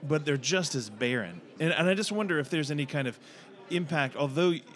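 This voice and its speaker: A man hosting a discussion, searching for words with many pauses and fillers. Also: a little high, expressive, fairly bright tone. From United States. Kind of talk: casual discussion.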